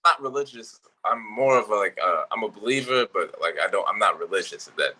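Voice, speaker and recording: A man's voice: on the low side, animated, fairly quick, very noisy. A man from Barbados, talking loosely and reflectively as a podcast co-host. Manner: loose, reflective, swearing freely. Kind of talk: casual chat.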